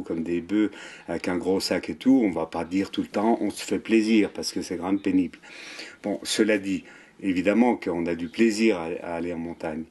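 A man in his sixties, a mountain guide with a French accent, talking in an interview with many pauses and fillers. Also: low voice, a little mellow. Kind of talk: relaxed interview. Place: wood-panelled chalet room, indoors. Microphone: seated at table, framed camera.

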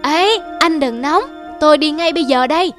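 A sly, polite cartoon parrot, played by a woman, speaking very high and coaxing. Loud music runs beneath. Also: normal speed, lightly acted. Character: clever calm parrot tricking a bully.

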